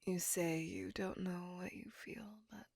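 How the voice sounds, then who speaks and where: A woman voicing a lovesick, possessive vampire in an intimate, dramatic ASMR roleplay, breathy and mostly whispered. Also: fairly low pitch, steady tone, a little mellow, dry recording, clean studio sound. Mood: obsessive, seductive. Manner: intimate, drawn-out, dramatic delivery. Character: lovesick, possessive female vampire lover, melancholy and seductive.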